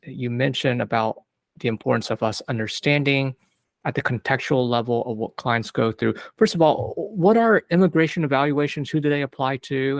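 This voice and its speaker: A man's voice: medium pitch, no echo. A man hosting an interview podcast, asking open questions and reflecting supportively on the guest's story. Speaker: podcast host interviewing a psychologist. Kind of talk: interview conversation.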